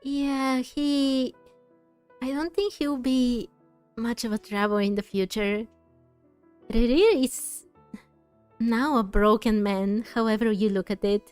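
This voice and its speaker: A woman streaming a game playthrough, reading dialogue aloud and musing on it thoughtfully, with pauses mid-phrase. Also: on the high side, steady tone, a little mellow, clean tone. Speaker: gaming streamer. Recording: dry, faint game music behind.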